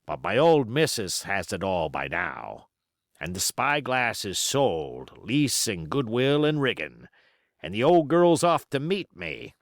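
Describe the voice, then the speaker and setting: Man performing a wily pirate cook, fifties, low pitch, swooping intonation, salty, boastful, storybook villain. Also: big pitch swings, slow pace, dry recording, studio quality, exaggerated voice. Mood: sly, derisive. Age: 50s. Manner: salty, rambling, folksy boasting. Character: wily fifty-year-old one-legged pirate sea cook, boastful and flattering.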